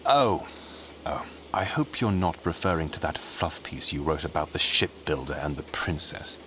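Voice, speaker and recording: An actor playing a scheming, grandiose conspirator in a scripted audio drama, speaking in silky speeches. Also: male voice, extremely low pitch, expressive, normal speed, bright, forceful tone, a little hiss or hum, full character voice. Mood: wry, calculating. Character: scheming, theatrical male political conspirator relishing intrigue.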